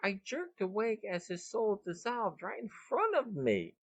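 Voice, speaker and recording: A man voicing a dazed, haunted young woman back from death, speaking in a halting, ominous trance for a story podcast. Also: high-pitched, huge pitch swings, a bit bright, dull audio, pitched above the performer's own voice, exaggerated voice. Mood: dread, disgust.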